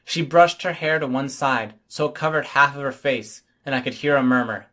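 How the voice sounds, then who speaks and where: A man's voice: mid-range voice, moderate pace, pushed and bright. A man reading scripted first-person fiction as an audiobook narrator.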